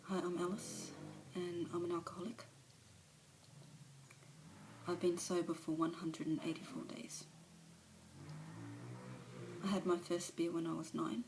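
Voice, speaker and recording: A woman performs a remorseful recovering alcoholic mother, confessing in a low, gravelly voice that keeps close to one note and sometimes sinks into a whisper. It is recorded on a phone camera. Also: little pitch movement, a little slow, pauses often, noisy background. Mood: ashamed. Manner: confessional, dropping to whispers at times. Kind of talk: dramatic monologue performance.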